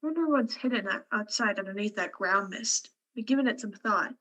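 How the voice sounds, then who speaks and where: A woman playing a fatalistic, cerebral male scientist in a science fiction radio play, speaking in a measured, wry way. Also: medium pitch, bright, forceful tone, muffled recording. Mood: musing.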